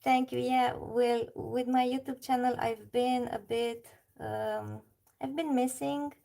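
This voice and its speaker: A woman's voice: on the high side, fairly even intonation, takes it slowly, vocal fry, mellow. A woman from Romania with a Romanian accent, chatting casually on a livestream while she colours and answers her chat. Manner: chatty, reads chat aloud, laughs often.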